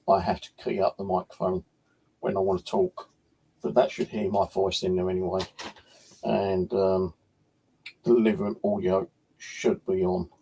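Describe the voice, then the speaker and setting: A British man with a fairly low voice talks casually to a livestream audience. His tone is husky and full of creak. He rambles informally, hesitating as he goes. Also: darkish tone. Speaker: paranormal livestream host.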